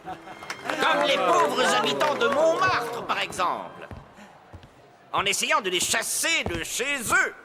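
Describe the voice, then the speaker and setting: Lively, sardonic newspaperman. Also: very high voice, takes it slowly, long pauses, a crowd in the background, full character voice. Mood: biting, ironic. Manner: dry irony, articulate and biting. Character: sardonic, combative newspaper director, a principled man. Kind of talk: French animated series acting. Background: music.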